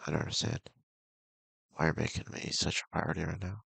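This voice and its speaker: A man voicing a clingy, possessive young woman in a horror visual novel, halting and hushed, with music behind. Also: very deep voice, steady tone, gravelly, slightly rough, no echo, exaggerated voice. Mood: brooding, probing. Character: clingy, brooding young female best friend, intense and possessive.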